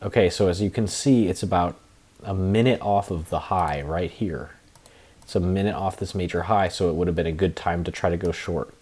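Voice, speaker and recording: Deep-voiced sick young man explaining trades. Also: normal speed, a little mellow, breathy edge. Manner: rambling, restarts, lots of fillers. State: sick, slightly hoarse. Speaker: trading tutorial presenter. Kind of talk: casual screen-share tutorial.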